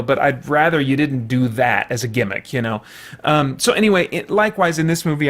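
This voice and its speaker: Higher-voiced man giving a casual review. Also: a bit bright. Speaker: host, movie reviewer. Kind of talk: casual unscripted movie review.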